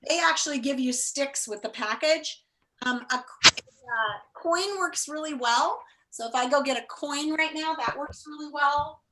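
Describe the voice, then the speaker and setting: A woman's voice: sits high, a little slow, noisy background. A woman teacher talking and reading aloud to an online class, enthusiastic and encouraging. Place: indoors, on a video call.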